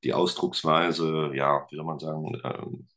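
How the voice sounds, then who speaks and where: A man with an exceptionally low voice speaks as a podcast guest. He talks casually and hesitantly, pausing on fillers while he searches for words. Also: steady tone, noisy background. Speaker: podcast guest, teacher and guitarist. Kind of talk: casual interview talk.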